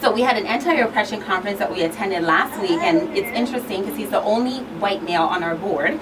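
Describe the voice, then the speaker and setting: A woman's voice: mid-range voice, lively intonation, fast talker, a crowd in the background. A woman speaking as a guest advocate in a conversational panel discussion on talk radio. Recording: distant mic, clear background noise.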